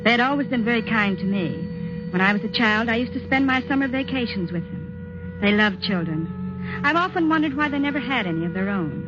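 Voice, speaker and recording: An actress playing an earnest, nostalgic young married woman in a vintage radio drama, speaking with deliberate dramatic diction. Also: a little high, animated, natural voice. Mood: grave, haunted. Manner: deliberate, dramatic first-person narration. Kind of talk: 1940s radio drama acting. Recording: dull vintage radio recording with music.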